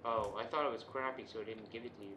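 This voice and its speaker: A man's voice: fairly low pitch, steady tone, quick pace, sharp tone, dull audio. A man playing a video game, chatting casually and jokingly talking to the characters in it. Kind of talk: casual co-op gameplay chat. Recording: roomy echo, background noise.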